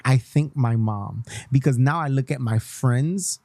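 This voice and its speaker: A man's voice: mid-range voice, a little slow, a little mellow, dry recording. A young man from Haiti, hosting a radio show in a casual, passionate way, switching between English and Creole and repeating himself for emphasis. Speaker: radio host.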